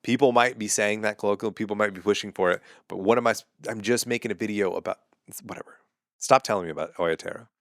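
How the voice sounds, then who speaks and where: A man's voice: lowish voice, fast talker, fairly bright tone, no echo. A man, a comedian and podcast host ranting sarcastically and with exasperation in a casual monologue.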